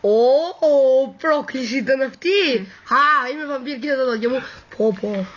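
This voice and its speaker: A brash, bragging vampire played by a woman in a homemade parody dub. The voice is very high and crudely theatrical, full of exclamations. The recording is muffled, with some background noise. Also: close to an everyday voice. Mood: taunting. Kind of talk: amateur comic parody dub. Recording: muffled, noisy home recording.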